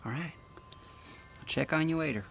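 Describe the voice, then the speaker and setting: An actor playing a protective, plain-spoken farmhand in an audio drama, folksy and halting as he reassures. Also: fairly high pitch, gravelly, music in the background. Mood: gentle, apologetic.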